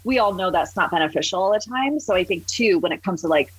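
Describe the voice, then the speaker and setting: A woman's voice: fairly low pitch, animated, fast, a little hiss or hum, low-quality recording. A woman talking conversationally as an interview guest, candid and emphatic, acting out remarks as she goes. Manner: candid, emphatic, acts out quoted remarks, swears casually. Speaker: podcast guest, empowerment coach.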